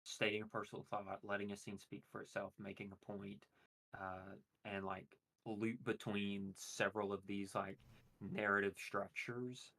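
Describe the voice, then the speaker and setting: A man chatting casually, explaining his points at length in a monotone voice. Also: lowish voice, a little slow, poor audio. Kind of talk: casual chat.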